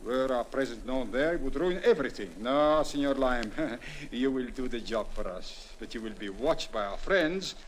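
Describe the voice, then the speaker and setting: A man's voice: mid-range voice, animated, natural voice, poor audio. Man acting a menacing, smug general, old radio hiss. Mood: smug menace. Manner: formal, measured, threatening. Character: menacing Latin American general, smug, commanding schemer. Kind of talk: 1950s radio drama acting. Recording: hissy old 1950s radio recording.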